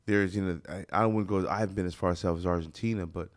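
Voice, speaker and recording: A man's voice: low-pitched, steady tone, fast. A man with an American accent hosting a casual radio interview, joking and talking in loose slang. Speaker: radio talk show host. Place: radio studio.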